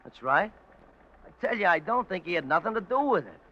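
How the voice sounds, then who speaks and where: An actor playing a whiny, evasive ex-convict informer with slangy, uneducated grammar in a 1950s radio detective drama. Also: male voice, high voice, big pitch swings, fairly quick. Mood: guarded. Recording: muffled vintage radio recording.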